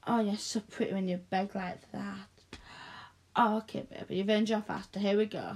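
A woman speaking softly and coaxingly in an intimate audio roleplay, close to the mic, often dropping to a whisper. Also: medium pitch, fairly even intonation, a little hiss or hum. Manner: soft, coaxing, slow and breathy with frequent whispers.

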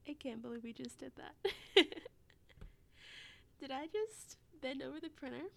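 Woman acting out a high, creaky, intimate lover. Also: brisk, a little mellow, a little hiss or hum. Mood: playful, winded. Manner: breathy, intimate, often slipping into whispers. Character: amorous, playful adult woman at an office party. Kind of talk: intimate erotic audio roleplay.

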